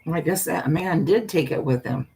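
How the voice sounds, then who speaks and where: An older woman with a husky voice and a folksy, meandering manner, talking as a storyteller hosting her own live stream. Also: sits low, moderate pace, warm tone, poor audio. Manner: folksy, meandering, often trailing off and repeating. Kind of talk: casual rambling chat with viewers; reading a spooky story aloud.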